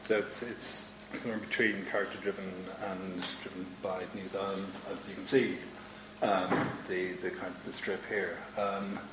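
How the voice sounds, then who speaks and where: A man's voice: low-pitched, little pitch movement, moderate pace, background noise. A man hosting a live talk show event, introducing a guest with frequent ums. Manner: hesitant, many ums. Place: event hall with audience. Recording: echoey room with faint ambience.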